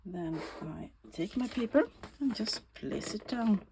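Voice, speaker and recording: A woman with a Swedish accent, Swedish native, talking through a bookbinding tutorial in a slow, thoughtful way that often drops to a soft whisper while she works. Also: mid-range voice, lively intonation, vocal fry, noisy background. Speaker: bookbinding crafter. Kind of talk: casual craft tutorial.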